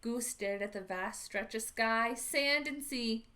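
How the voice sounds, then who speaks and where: A woman reading a picture book aloud to young children at library storytime, expressive, with dramatic asides. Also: on the high side, slow.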